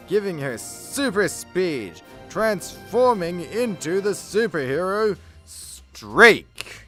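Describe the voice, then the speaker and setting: A man's voice: on the high side, pushed and bright, heavily acted. A man narrating a scripted superhero comedy radio play with a dramatic announcer's delivery.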